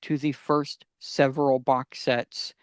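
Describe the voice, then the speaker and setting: A man hosting a casual fan podcast, talking enthusiastically and sometimes haltingly as he gives recommendations. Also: mid-range voice, little pitch movement, smooth, no room sound, studio quality. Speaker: podcast host.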